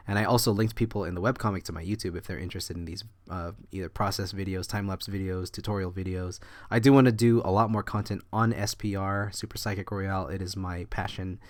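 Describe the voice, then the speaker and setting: A man with a deep, low voice narrates his own drawing time-lapse in a loose, meandering way, a bit under the weather with a cough. The sound is dry, with no room echo. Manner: rambling, meandering delivery. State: slightly sick with a cough. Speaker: artist and channel host. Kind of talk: casual voice-over commentary on a drawing time-lapse.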